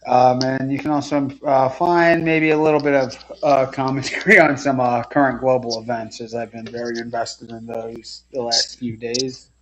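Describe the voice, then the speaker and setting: A man chatting casually and haltingly as a panelist on a live sports show. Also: medium pitch, fairly even intonation, a bit bright. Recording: muffled lo-fi audio with clear noise.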